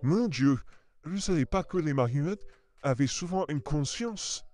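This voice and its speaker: A man's voice: on the high side, lively intonation, moderate pace, a little mellow, soft background music, close to an everyday voice. A man playing a proud, easily amazed middle-aged father in a French comic dub. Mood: astonished.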